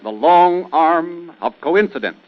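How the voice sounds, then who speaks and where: Dull old radio recording, music behind, guy narrating dramatically, deliberate, suspenseful. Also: fairly high pitch, expressive.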